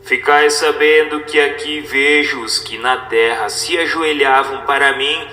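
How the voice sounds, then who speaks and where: Man playing venerable dead cleric's spirit: humble, admonishing, bright voice, audiobook over music. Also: medium pitch, fairly even intonation, measured pace, reverberant, long reverb tail. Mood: humbly admonishing. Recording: narration with background music.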